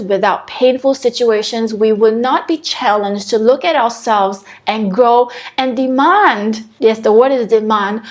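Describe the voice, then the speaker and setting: Singaporean woman, spiritual coach doing a home live stream, chatty, fairly high voice. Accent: Singaporean English. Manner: chatty, repeats and restarts phrases, talks directly to viewers. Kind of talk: casual motivational live stream. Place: her own home.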